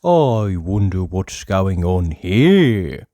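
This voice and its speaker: A narrator voicing a nosy, curious male storybook busybody who muses aloud in a drawn-out, inquisitive way. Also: sits low, big pitch swings, mellow, dry recording, clean studio sound, exaggerated voice.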